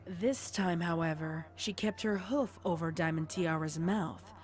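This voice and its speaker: A woman's voice: on the low side, a little slow, faint music behind. A woman narrator reading a scripted story with dramatic storytelling delivery.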